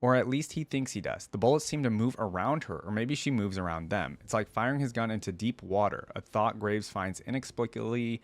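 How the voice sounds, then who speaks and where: A man's voice: lowish voice, a little fast, hardly any pauses, dry recording. A man hosting a reaction video, reading a story aloud and adding casual commentary.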